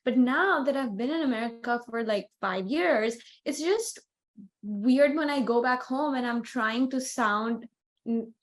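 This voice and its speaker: A woman's voice: on the high side, unhurried. Young woman chatting reflectively. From India. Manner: reflective, with frequent "like" and "you know". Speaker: podcast co-host. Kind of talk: casual conversational chat.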